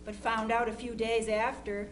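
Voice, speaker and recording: A woman reading as an 1860s letter writer in a dramatization, measured and deliberate, writing to a soldier. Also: a little high, flat delivery, a little hiss or hum, natural voice, rough recording. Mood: measured, earnest. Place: live presentation before an audience.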